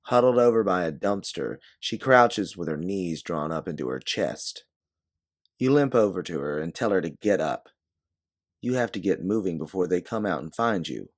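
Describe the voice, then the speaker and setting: A man's voice: low-pitched, animated, normal speed. A man reading a scripted second-person story in a dramatic narrating style. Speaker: narrator of an original audio story.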